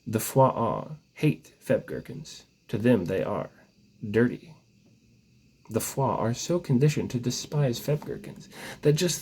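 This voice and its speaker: Husky man reading with very lively pitch. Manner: mock-solemn, theatrical delivery. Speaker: story reader. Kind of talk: reading a mock-biblical comic tale aloud.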